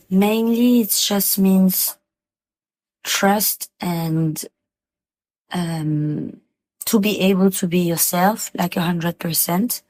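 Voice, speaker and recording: A woman's voice: mid-range voice, a little mellow, no echo, low-quality recording. A woman from Paris, France, chatting casually as a guest on a podcast. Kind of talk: casual chat.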